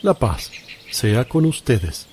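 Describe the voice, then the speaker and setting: An actor playing a calm, gentle but authoritative male teacher with measured, solemn delivery in a religious radio drama. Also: mid-range voice, a little hiss or hum. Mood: calm, commanding. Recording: faint outdoor birdsong and ambience.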